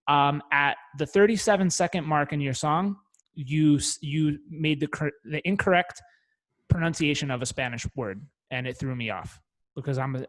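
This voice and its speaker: Casual fast-talking young man. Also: fairly high pitch, poor audio. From Italian family, parents from Naples. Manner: casual, swears freely, many restarts and fillers. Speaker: guest marketer. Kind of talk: casual chat.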